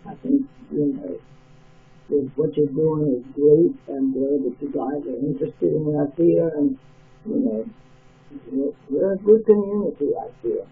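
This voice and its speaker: Older man, gravelly voice, tinny phone. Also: a little high, a little slow, a little hiss or hum. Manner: rambling, reminiscing. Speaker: interviewee. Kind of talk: casual oral-history chat by phone. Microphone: telephone.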